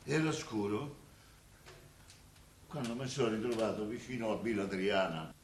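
An actor in a radio play voicing a dazed, plain-spoken man with a Roman accent who tells his story haltingly. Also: fairly low pitch, close to an everyday voice. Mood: dazed, sorrowful. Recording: echoey, faintly noisy room recording.

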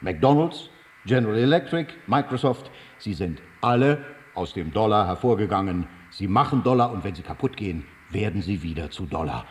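An actor as a preachy, animated prison priest. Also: male voice, fairly low pitch, moderate pace, close to an everyday voice. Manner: preachy, emphatic, lecturing. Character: pompous male prison priest explaining God through money. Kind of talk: German radio drama acting.

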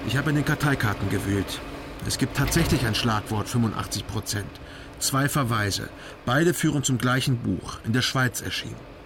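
Brief: actor as reserved investigator, plain matter-of-fact voice, car noise behind. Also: male voice, medium pitch, moderate pace, natural voice. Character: reserved, precise male investigator. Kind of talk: radio drama acting.

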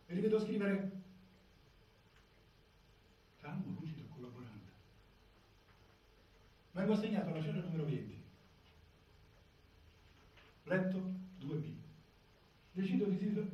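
An actor playing a frightened, desolate newly jailed man in a theatrical monologue. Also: a little high. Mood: anxious, desolate. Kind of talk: theatrical first-person monologue. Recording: echoey, distant, dull, faint noise.

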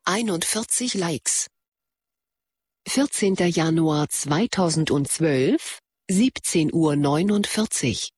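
A man's voice: on the high side, expressive, takes it slowly, a little mellow, breathy edge, dry recording, exaggerated voice. A man playing an impassive announcer in an audio drama, reading in matter-of-fact, clipped phrases. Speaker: announcer of dates and like counts.

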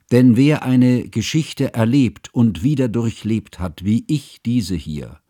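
A man playing a grave, scholarly friend who narrates a composer's tragic life in a radio play, with solemn, deliberate, ornate phrasing. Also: fairly low pitch, warm tone, no echo, clean studio sound. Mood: insistent, solemn.

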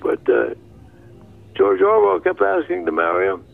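An older man recalling memories haltingly as an interviewee. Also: high voice, huge pitch swings, gravelly, narrow, tinny audio. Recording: thin, band-limited interview tape.

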